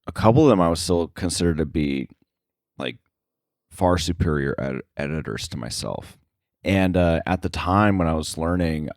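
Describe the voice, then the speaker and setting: Male interview guest, low register, loose conversational shop talk that stops and restarts, dry recording. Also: a little mellow, no room sound. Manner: halting, filler-heavy, circling back to rephrase. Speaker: interview guest, sound effects editor.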